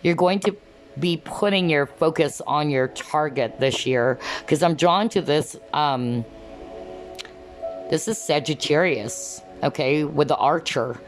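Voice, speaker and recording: A woman giving an earnest tarot card reading, often asking "okay?" as she talks, and her voice is going hoarse. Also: deep voice, takes it slowly, faint music behind. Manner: earnest, repeats "okay?" to viewers. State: losing her voice. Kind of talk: pick-a-card reading to camera.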